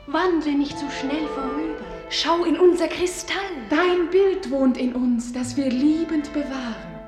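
A woman's voice: sits high, a little slow. A woman playing a loving nature spirit in a radio drama, speaking in a lyrical, enticing, incantatory way. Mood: tender, enraptured. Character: loving nature spirits of flowers, fire and springs, female. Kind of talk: poetic German radio drama acting. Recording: echoey studio with music behind. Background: music with violin.

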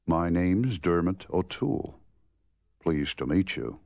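An actor playing an American man from New York, an actor by trade, in a slow, carefully spoken language course dialogue. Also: deep voice, animated, gravelly, darkish tone, no room sound, full character voice. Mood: friendly. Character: American male actor from New York, chatting up a woman at a party.

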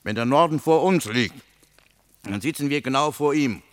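An actor playing a grumbling, bossy lost soldier in a radio play, with coarse, needling talk. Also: male voice, medium pitch, animated, normal speed, dry recording, close to an everyday voice. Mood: insistent. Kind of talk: German radio play dialogue.

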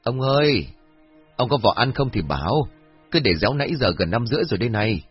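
A man's voice: medium pitch, animated, a little fast, slightly rough, lo-fi sound, faint music behind, voice pushed up. A man voicing an older village wife, fussy, scolding and flustered, in an audio story. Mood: nagging.

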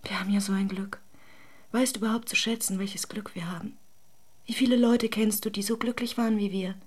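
A woman's voice: mid-range voice, fairly even intonation, fairly quick, husky, natural voice. An actress playing an adoring, despairing woman in a secret love affair, in an intimate, emotional radio drama. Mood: fervent, hopeful.

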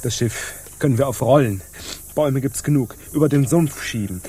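An actor playing a pedantic, self-important pirate in a radio play, speaking in stilted, over-precise, affronted sentences. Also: male voice, mid-range voice, moderate pace, mellow, slight background noise, lightly acted. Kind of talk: stage-like radio play acting.

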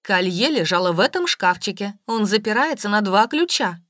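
A woman's voice: medium pitch, lively intonation, normal speed, a bit bright, dry recording, studio quality, lightly acted. A woman voicing a histrionic, quarrelsome wealthy lady, dramatic and defensive, in an audiobook. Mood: distraught, defensive.

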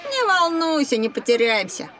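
A woman's voice: sits high, sing-song, moderate pace, a bit bright, far from the mic. A woman playing a reckless, carefree schoolboy in an educational children's audio drama, breezy, boastful and dismissive. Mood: nonchalant.

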